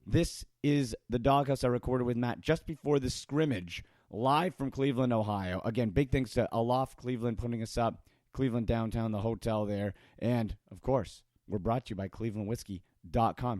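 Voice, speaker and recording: Raspy, excited man hosting a sports podcast. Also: medium pitch, dry recording, studio quality. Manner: enthusiastic, talks fast with fillers. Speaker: podcast host. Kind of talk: casual sports chat.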